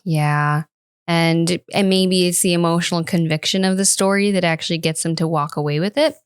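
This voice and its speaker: A woman's voice: on the low side, steady tone, normal speed, clean tone, no room sound, clean studio sound. A woman in her early thirties chatting casually and personally as a podcast co-host. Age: early 30s. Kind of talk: casual personal panel chat.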